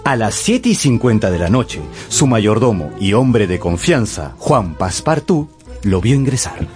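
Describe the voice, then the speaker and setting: A man's voice: lowish voice, big pitch swings, unhurried, a little mellow, faint music behind. A man narrating a radio novel as a scripted, dramatic storyteller.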